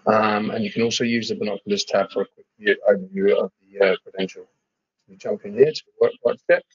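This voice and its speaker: A man giving an informal software walkthrough as a webinar presenter, hesitating and repeating words as he explains. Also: fairly low pitch, quick pace, breathy edge, no room sound, lo-fi sound. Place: screen-share webinar voice-over.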